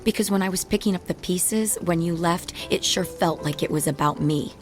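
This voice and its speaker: An actress playing a sharp-tongued, wounded ex-wife in a radio drama, guarded and full of biting, sarcastic retorts. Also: female voice, lowish voice, normal speed, soft background music, lightly acted. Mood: bitter, angry.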